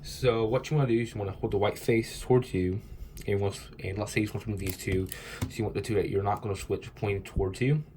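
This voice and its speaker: A young man with a cold, his voice stuffy and congested, explains each step in a loose, meandering way full of fillers. His voice sits on the low side, and a faint hiss runs underneath. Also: fairly even intonation, a little fast, darkish tone. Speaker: cubing tutorial host. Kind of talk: casual tutorial explanation.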